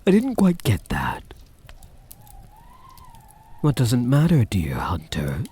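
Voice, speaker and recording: Guy doing a slow breathy vampire count for asmr roleplay, soft spoken, teasing and possessive, kinda mocking. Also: mid-range voice, wildly swooping pitch, exaggerated voice. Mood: mocking, amused. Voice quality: husky, breathy. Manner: slow, teasing, mock-sympathetic purr.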